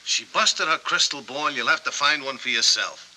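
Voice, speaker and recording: Sharp-voiced, blunt noir go-between. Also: male voice, medium pitch, moderate pace, poor audio. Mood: matter-of-fact. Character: blunt male go-between passing messages along. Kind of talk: 1940s film noir acting.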